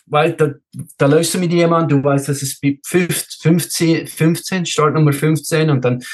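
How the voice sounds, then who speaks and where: A man's voice: a little high, steady tone, moderate pace. A man from Switzerland talking as a race director in a casual podcast interview, repeating words and dropping in the odd English one.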